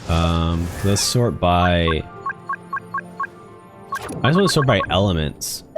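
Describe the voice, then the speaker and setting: A man thinks aloud as he plays a game, in a casual, halting way. His pace is very unhurried and he repeats words while deciding. Clear game music plays behind him. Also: low voice, lively intonation. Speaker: streamer.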